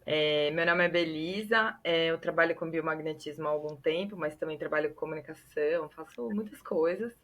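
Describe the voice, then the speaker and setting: A woman from São Paulo with a lower voice speaks softly and a little shyly, her sentences trailing off. She is a guest in a casual podcast chat. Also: normal speed, few pauses. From São Paulo, Brazil. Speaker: podcast guest, biomagnetism practitioner.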